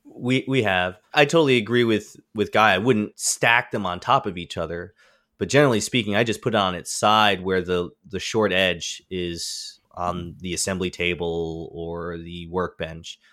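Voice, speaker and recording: A man's voice: low voice, steady tone, normal speed, fairly bright tone, no echo, clean studio sound. A man co-hosting a podcast, agreeable and laid-back as he chats about shop practice. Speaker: podcast co-host. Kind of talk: casual podcast chat.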